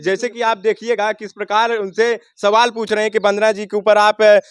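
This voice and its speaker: A man's voice: sits high, little pitch movement, normal speed, clean tone, no room sound, studio quality. A man, speaking as a local news reporter in a rhetorical, declamatory way that addresses the viewers. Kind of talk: spoken news commentary and interview.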